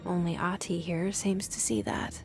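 A young woman muses privately in a fairly low voice. She is wry, guarded and understated, a game heroine narrating her own thoughts. Music plays clearly behind her. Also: expressive, normal speed. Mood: wry, reflective. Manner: dry, understated, introspective. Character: wry, guarded young woman, reluctant new agency director. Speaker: protagonist, new director of an agency. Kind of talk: inner monologue in a video game.

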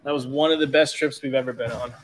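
A man's voice: mid-range voice, fairly even intonation, fast, crowd noise, low-quality recording. A man in his thirties from South Africa, casually hosting a live unboxing stream from a storage room and reading viewer comments aloud. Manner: upbeat, reads chat aloud. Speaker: channel host. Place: storage room stacked with boxes.

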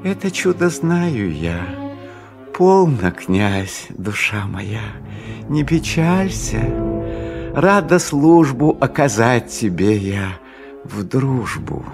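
A man voicing a gentle magical swan who consoles in tender storybook verse. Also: mid-range voice, sing-song, takes it slowly, gravelly, music in the background, heavily acted. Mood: reassuring.

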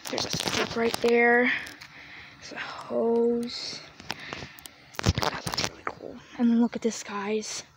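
A woman's voice: fairly high pitch, level tone, takes it slowly, long pauses. Young woman vlogging outdoors on a phone. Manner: chatty, often whispering while walking. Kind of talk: casual walk-around vlog. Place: outdoors on a farm yard. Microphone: handheld phone camera. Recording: noisy outdoor phone audio.

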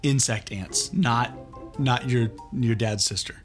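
A man's voice: mid-range voice, slow, soft background music. A man in his thirties, a children's read-aloud host, doing comic banter as the exasperated straight man. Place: small indoor studio set.

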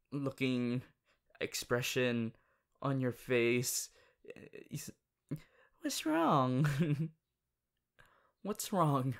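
A woman voicing an affectionate, excitable young male anime hero turned bunny in an ASMR roleplay, with a soft, hesitant delivery. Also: very deep voice, full character voice. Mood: tender, concerned. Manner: hesitant, giggly, swinging from murmurs to excited exclamations. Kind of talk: ASMR audio roleplay, acted monologue.

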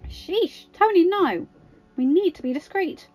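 A woman's voice: high voice, lively intonation, normal speed, highs cut off, faint music behind, pitched above the performer's own voice. A woman voicing a stern, bossy female secret agent in an exasperated, commanding manner.

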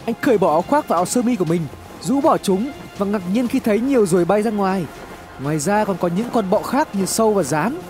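A man's voice: high voice, expressive, quick pace, a little mellow. A man reads scripted horror story narration in a dramatic, suspenseful storytelling manner. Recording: music behind the voice. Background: background music.

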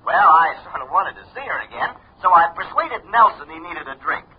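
Eager american reporter, highish voice swinging all over, old radio mystery, dull recording. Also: male voice, a little fast. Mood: eager, smitten. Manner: eager, animated. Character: eager, excitable male reporter, smitten best friend. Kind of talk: 1950s radio drama acting. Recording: muffled old radio, faint noise.

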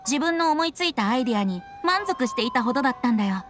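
A youthful boy bard with a high, lively voice. He sounds carefree and whimsical, speaking in a playful, airy anime game manner. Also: fast, music in the background. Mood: breezily defensive. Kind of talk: Japanese anime game dub.

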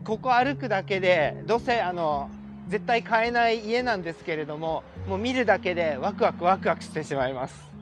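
A middle-aged man with a high voice narrates a city walk, polite and enthusiastic as he points things out. He speaks outdoors into a handheld camera. Background music runs clearly under his voice. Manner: chatty, polite, enthusiastic guide. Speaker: vlogger. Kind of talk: casual walking tour narration. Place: outdoors on city streets and in a park. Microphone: handheld wide-angle camera.

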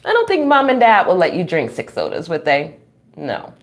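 A middle-aged woman reading a children's book aloud at home, expressive and playful, with chatty asides to young listeners. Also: fairly low pitch. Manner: expressive, playful, drawn-out reading, frequent chuckles and gasps. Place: small room at home.

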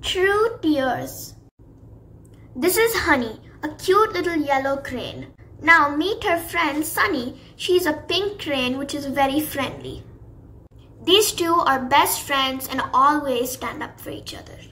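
A woman hosting her channel and narrating a children's bedtime story in a slow, sing-song way. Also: high voice, lively intonation, sharp tone, slight background noise, rough recording.

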